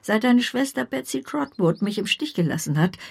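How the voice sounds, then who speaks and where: An actress playing a brisk, blunt older aunt with a kind core in a radio play, opinionated and emphatic, with a husky, creaky voice. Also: female voice, a little high, lively intonation, full character voice. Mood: emphatic, proud.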